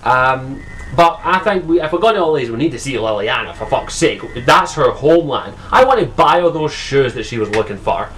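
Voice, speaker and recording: A man's voice: medium pitch, lively intonation, a little fast, fairly bright tone, rough recording. Scottish guy rambling on his home mic, swears a lot, lots of ums, bit of hiss behind. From Scotland. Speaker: gaming vlogger. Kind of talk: casual solo commentary.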